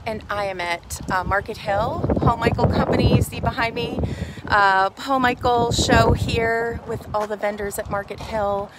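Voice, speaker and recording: A middle-aged woman hosting an unscripted walk-through vlog on a phone at arm's length, with a halting, meandering delivery. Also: on the high side, fairly even intonation. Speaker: host of a shopping preview vlog. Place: outside a metal warehouse store, then large busy indoor market and cafe. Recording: noisy handheld phone recording on location.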